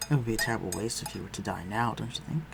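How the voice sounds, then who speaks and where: Man playing a low-voiced aristocratic vampire master. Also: gravelly, heavy background noise. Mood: reassuring, protective. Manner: formal, measured, condescending yet solicitous. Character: aristocratic male vampire master, civil, protective, coolly superior. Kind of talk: scripted audio roleplay addressed to the listener.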